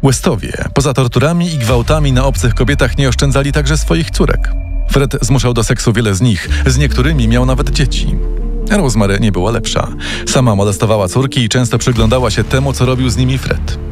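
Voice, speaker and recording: A man's voice: mid-range voice, brisk. A man reading a scripted true-crime story as a podcast narrator, recorded as a studio voice-over. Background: music.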